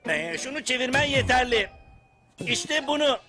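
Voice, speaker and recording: A man's voice: very high-pitched, expressive, pushed and bright, exaggerated voice. A man voicing a brash, enterprising male bug in a dubbed cartoon. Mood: explaining. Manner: cocky, brisk, argumentative. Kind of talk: cartoon dub acting. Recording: music behind the voice.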